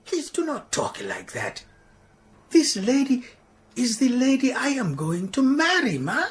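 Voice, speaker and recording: A woman voicing a gentle, soft-spoken middle-aged man, placating and unhurried. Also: high voice, wildly swooping pitch, exaggerated voice. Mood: pleading. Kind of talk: radio drama acting.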